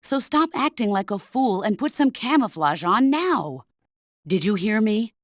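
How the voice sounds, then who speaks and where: A woman's voice: medium pitch, sing-song, measured pace, no echo, studio quality. A middle-aged woman playing a stern, commanding veteran soldier who mentors her former protégé in a video game. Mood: exasperated, scolding. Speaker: military mentor character. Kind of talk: video game voice acting, radio briefing dialogue.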